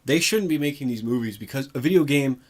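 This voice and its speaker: A man's voice: mid-range voice, animated, quick pace. Casual man co-host, conversational mid-range voice. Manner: informal, frequent "like" while reasoning. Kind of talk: casual discussion of films and games.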